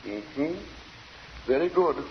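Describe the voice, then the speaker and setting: An actor playing a weary, devout, eccentric gentleman lodger, formal and hesitant with a sinister edge, in 1940s radio drama style. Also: medium pitch, lively intonation, slow pace. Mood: guarded, formal. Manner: formal, hesitant, abruptly sharp, then apologetic. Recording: old radio recording, muffled, noisy, reverberant.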